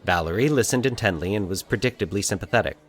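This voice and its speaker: A man narrating a scripted, eerie fiction story as an expressive storyteller. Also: fairly low pitch, quick pace.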